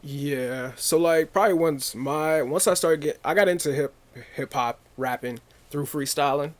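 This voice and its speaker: A man in his twenties from Maine, a rapper chatting as a podcast guest in a relaxed, easygoing way. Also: a little high. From Maine, USA. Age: 20s. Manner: relaxed, easygoing, quick affirmations. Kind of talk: casual interview chat.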